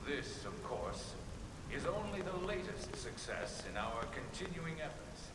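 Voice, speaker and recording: A man's voice: mid-range voice, sing-song, measured pace. An actor playing a pompous male political leader in a video game, giving a grandiose formal speech to his citizens. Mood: grandly proud. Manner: grandiose, oratorical. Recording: echoing public-address speech, distant, heavy noise.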